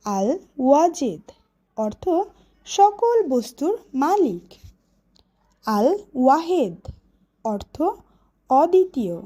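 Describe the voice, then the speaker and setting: A woman voicing a schoolteacher in an educational cartoon, speaking deliberately and instructively. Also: sits high, big pitch swings, dark tone, exaggerated voice. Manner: deliberate, clearly instructive delivery. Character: female schoolteacher leading a children's class. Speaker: teacher. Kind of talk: educational cartoon lesson, recitation and questioning.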